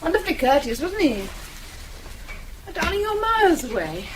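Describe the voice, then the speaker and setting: An actress playing a playful, affectionate, teasing wife in a radio drama, with a chatty manner. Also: female voice, extremely high pitch, very animated, moderate pace, background noise.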